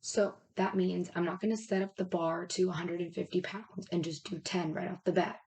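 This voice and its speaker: Raspy, lower-voiced woman coaching casually. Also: steady tone, moderate pace, a little mellow. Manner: informal, rambling, explains step by step with check-ins. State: raspy, losing her voice. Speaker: fitness and health coach.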